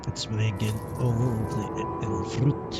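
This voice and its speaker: A man's voice: lowish voice, steady tone, slow pace. A man giving casual live game commentary, muttering to himself and swearing freely. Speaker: game streamer. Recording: loud game music behind voice. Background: game music and sound.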